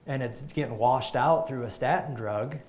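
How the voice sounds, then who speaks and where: A man, a chiropractor, giving an energetic live health talk to a group, emphatic and often restarting his sentences. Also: mid-range voice, moderate pace.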